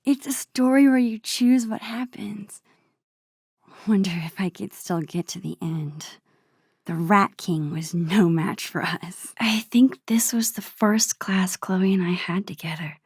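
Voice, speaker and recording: A woman voicing a shy, thoughtful young American teenage girl in a video game, in a soft, breathy, musing inner-monologue voice. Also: mid-range voice, a little slow, no room sound, studio quality. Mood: musing, nostalgic. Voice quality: breathy, hushed.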